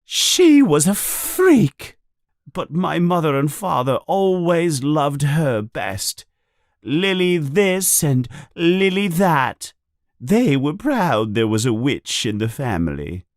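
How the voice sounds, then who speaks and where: A man's voice: a little high, big pitch swings, slow pace, dry recording, studio quality, pitched above the performer's own voice, full character voice. A man voicing a resentful middle-aged aunt in a storybook reading, ranting shrilly and angrily. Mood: bitter, angry.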